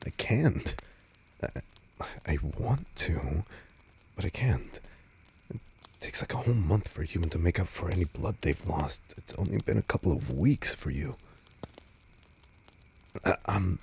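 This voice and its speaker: A man playing a nervous, tender vampire in an intimate audio roleplay, speaking softly and hesitantly to the listener as he resists his hunger. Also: low-pitched, slight background noise. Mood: nervous, reluctant. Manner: hesitant, stammering, soft-spoken.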